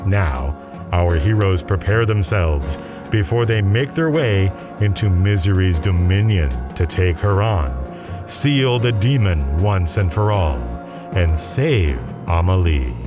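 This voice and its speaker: A man's voice: low-pitched, expressive, slow, music in the background. A man delivering dramatic scripted narration for an audio drama.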